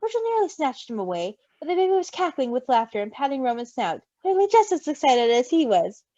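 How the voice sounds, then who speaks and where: A woman reading a story aloud in an expressive, gushing, giggly way, with amused asides. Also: high-pitched, brisk, dry recording. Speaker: fan fiction reader. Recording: dull lo-fi recording.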